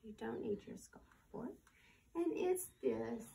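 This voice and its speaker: A woman hosting a children's storytime, casually giving simple, slightly halting instructions to families watching at home. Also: fairly high pitch, animated, vocal fry, far from the mic, very noisy.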